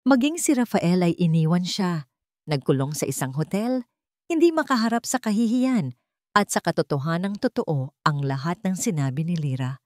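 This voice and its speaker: A woman's voice: fairly low pitch, sing-song, moderate pace, darkish tone, dry recording, studio quality. A woman with a Filipino accent narrating a scripted story in a dramatic style.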